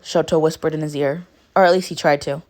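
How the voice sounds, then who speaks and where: A woman narrating fan fiction in an expressive amateur storytelling style. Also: deep voice, brisk.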